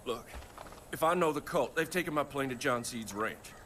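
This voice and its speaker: An actor playing a foul-mouthed, excitable rural pilot and husband with an American accent in a video game cutscene. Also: male voice, medium pitch, normal speed, slight background noise, natural voice. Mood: desperate, earnest. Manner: folksy, exclamatory, swearing.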